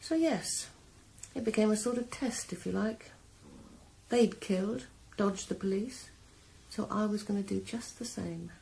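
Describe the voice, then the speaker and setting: Cool calm young killer in a radio play, dark mellow voice, slow and even. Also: female voice, mid-range voice, gravelly, a little hiss or hum, poor audio. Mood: cold confessing. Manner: calm, measured, quietly cold. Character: cold young woman killer confessing revenge. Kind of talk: 1980s radio drama acting. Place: sauna.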